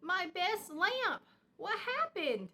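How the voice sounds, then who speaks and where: A woman voicing a patient, gently firm mother bear in a children's storybook, speaking earnestly. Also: very high voice, sing-song, takes it slowly, voice pushed up, full character voice. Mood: upset.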